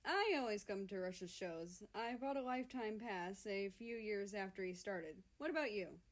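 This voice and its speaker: A woman voicing a cheerful, teasing, warm-hearted male friend in a story reading. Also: medium pitch, animated, normal speed, natural voice. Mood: friendly.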